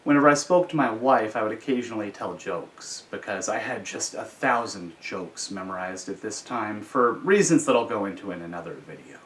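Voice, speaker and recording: An American man telling personal stories in an informal, measured, explanatory way. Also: lowish voice, lively intonation. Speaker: storyteller, host. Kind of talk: informal explanatory storytelling about personal experience. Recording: slightly distant from the microphone.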